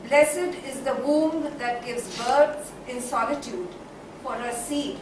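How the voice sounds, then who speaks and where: An actress playing a whimsical female poet, delivering a declamatory, dramatic stage monologue. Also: fairly high pitch. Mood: musing, grandiose. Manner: declamatory, dramatic, with emphatic repeats and drawn-out phrases. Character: theatrical, whimsical female poet musing aloud on art. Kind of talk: theatrical stage monologue, poetic recitation. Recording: distant, very echoey hall, faint noise.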